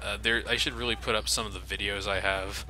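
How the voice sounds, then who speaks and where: A man's voice: lowish voice, fairly even intonation, quick pace, very noisy. A man giving casual, profane game commentary with a sardonic manner. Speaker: let's play host.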